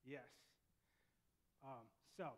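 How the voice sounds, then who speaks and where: A man's voice: mid-range voice, very slow, big-room sound. A man hosting an interview on a church stage, enthusiastic and repeating his words. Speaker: pastor interviewing a guest. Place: church sanctuary.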